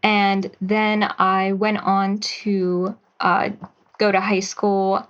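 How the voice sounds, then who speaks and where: Slow-speaking young woman on a dull line. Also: mid-range voice, flat delivery, fairly bright tone. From Pennsylvania, USA. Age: 20s. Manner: measured and thoughtful, with some hesitation fillers. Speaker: writer and guest. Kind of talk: interview answers.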